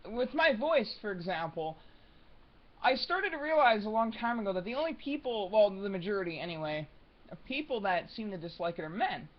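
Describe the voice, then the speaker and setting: A young man with a soft voice that sits higher than most men's talks off the cuff in a room at home. He rambles, restarts and fills gaps with ums. The recording is dull and lo-fi. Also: animated, brisk. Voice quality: high, soft voice. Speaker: vlogger. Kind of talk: unscripted casual monologue.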